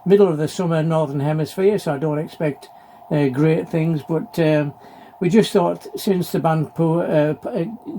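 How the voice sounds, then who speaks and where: A middle-aged or older man talking casually in an unscripted hobby vlog, halting and hesitant, often repeating his phrases. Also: on the high side, mellow. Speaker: amateur radio hobbyist presenting a video. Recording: clearly noisy background.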